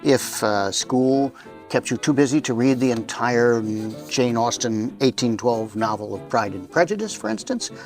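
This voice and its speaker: A man's voice: mid-range voice, expressive, a little slow, faint music behind. A man hosting with a chatty, semi-scripted introduction, wry and folksy, with small hesitations. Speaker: host.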